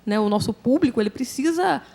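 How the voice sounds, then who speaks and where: A Brazilian woman journalist speaking informally on a panel, thinking aloud haltingly and often adding tag questions. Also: a little high, animated, gravelly, mellow. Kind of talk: panel discussion, informal opinion.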